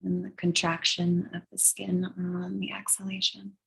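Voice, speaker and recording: A woman leading a guided meditation in a soothing, measured, breathy way, leaving long pauses between phrases. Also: fairly low pitch, monotone, poor audio. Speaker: guided meditation and yoga teacher.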